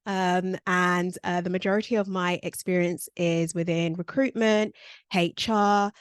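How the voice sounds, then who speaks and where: A woman's voice: fairly low pitch, fairly even intonation, a little slow, clear, resonant tone, no room sound, studio quality. A woman, presenting a career workshop over a video call in a conversational way with frequent ums. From born in Nigeria, raised in South London.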